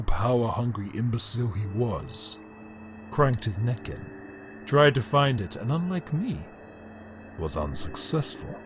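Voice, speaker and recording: A man playing a bitter, power-hungry immortal alien emperor in a dramatic audio drama monologue, brooding and grandiose, with deliberate theatrical pauses. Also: mid-range voice, close to an everyday voice. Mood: contemptuous. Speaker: reader of an audio story.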